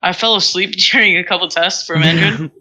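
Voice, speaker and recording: A woman's voice: fairly low pitch, brisk, sharp tone, poor audio. A teenage girl from Singapore, co-hosting a casual podcast and telling rambling, self-mocking stories full of fillers. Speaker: podcast co-host. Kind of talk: casual chat.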